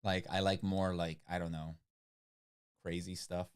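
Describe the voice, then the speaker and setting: A man's voice: low voice, unhurried, smooth. A man who speaks English as a second language, co-hosting a book club livestream and chatting casually with many "like" fillers. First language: not English. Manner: rambling, many "like" fillers, animated retelling. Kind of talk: casual chat.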